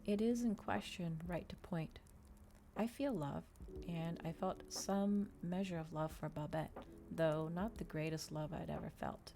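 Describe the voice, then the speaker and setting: Woman doing a moody storybook vampire guy, low soft dark tone, very formal n deliberate. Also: creaky, slight background noise. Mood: pensive. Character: brooding, articulate male vampire recounting his past.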